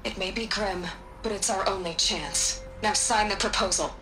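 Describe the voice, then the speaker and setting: An actress playing a blunt, commanding scientist in a video game cutscene, cutting and stern. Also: female voice, mid-range voice, steady tone, normal speed, bright, forceful tone, slight background noise, natural voice, poor audio. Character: blunt, steely female scientist, middle-aged.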